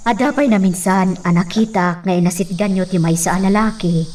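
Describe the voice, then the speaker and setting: An actress playing an earnest, respectful woman servant of a mansion in an Ilocano radio drama, deferential and measured as she confides. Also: medium pitch, a little mellow, distant mic, lightly acted. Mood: earnest, confiding.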